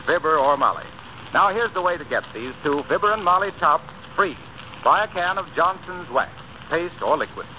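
A man speaking as an announcer in the polished style of 1930s radio. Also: a little high. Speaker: announcer and supporting radio actor. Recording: dull, noisy 1930s radio recording.

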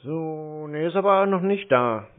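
A man posing as a call target on a long phone call, talking slowly and hesitantly, stalling with hums, fillers and small complaints. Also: fairly high pitch, lively intonation. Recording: tinny phone line.

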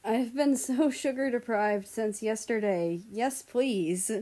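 A woman's voice: fairly high pitch, slow pace, dark tone. A woman voicing a clumsy, apologetic male American actor in a story, eager and flustered.

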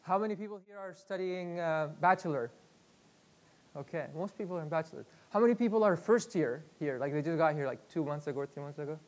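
A man from Iraq, in his thirties, talks to a room of students on a headset mic. His voice sits a little high for a man, and he speaks in a loose, chatty way, restarting phrases and filling pauses. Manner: chatty, repeats words, many fillers. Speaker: guest speaker. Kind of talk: live workshop talk. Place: lecture room with projector screen.

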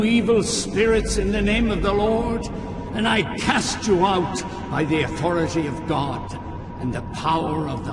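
An elderly priest declaims a stern exorcism in a high voice. He is fervent and commanding, ringing through a long reverb with loud music behind him, as in a horror game. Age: older. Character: solemn elderly priest performing a fierce exorcism. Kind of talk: ritual exorcism incantation. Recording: echoing reverberant hall under loud music.

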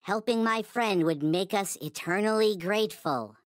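A man voicing a small, naive, reverent toy space alien in a video game, with a high childlike cartoon voice and solemn, stilted, formal phrasing. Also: moderate pace, smooth, no echo, studio quality, lightly acted. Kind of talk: video game character voice lines.

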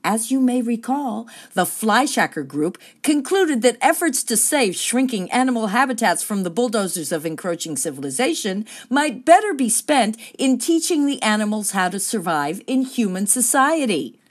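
A woman plays an earnest radio nature-show presenter in a comedy. Her voice is fairly high and animated, with mock-serious, measured narration. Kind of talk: parody documentary narration.

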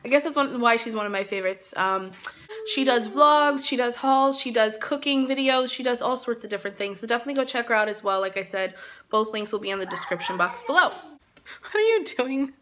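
Woman, 30s, home vlogger talking into her phone; bright, chatty and rambling, a touch high, faint hiss. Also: animated, a little fast. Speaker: mom vlogger. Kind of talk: casual vlog chat to camera. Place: tiled living room at home, indoors. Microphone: phone selfie camera at arm's length.

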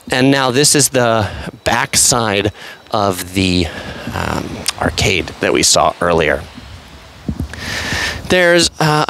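A man's voice: medium pitch, fairly bright tone, a little hiss or hum. A man casually narrating an outdoor walking tour on a pier, chatty and rambling, full of fillers and asides. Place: outdoors on an empty seaside pier.

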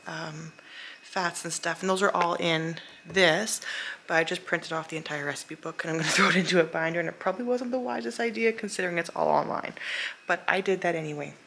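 A young woman chatting casually to a camera held at arm's length in her home, rambling with lots of fillers and often talking hushed. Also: on the low side, gravelly, a little hiss or hum. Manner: talking hushed, sometimes whispering. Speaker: vlogger. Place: open-plan living room and kitchen at home. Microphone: handheld camera at arm's length.

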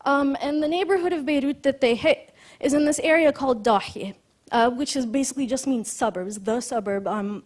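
Woman from Lebanon, high-pitched voice, conference lecture, halting earnest delivery. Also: a little mellow. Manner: many fillers and restarts, earnest explaining. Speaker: conference speaker. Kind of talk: lecture talk.

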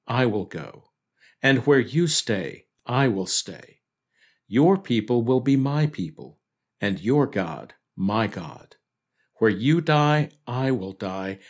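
Male devotional host, mid-pitched, measured earnest read, scripted scripture reflection.

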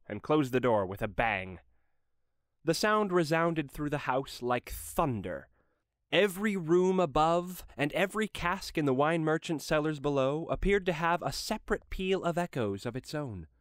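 A man's voice: a little high, lively intonation, unhurried, no room sound, studio quality. A man giving a dramatic scripted narration of a classic story.

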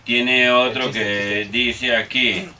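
A man's voice: medium pitch, little pitch movement, very slow, pushed and bright. A man from Colombia hosting morning radio, teasing the guest and playing for laughs. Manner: teasing, mangles song titles for laughs. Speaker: radio host. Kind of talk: playful morning radio banter. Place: busy radio studio, indoors. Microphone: studio mic with headphones. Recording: distant mic with faint noise.

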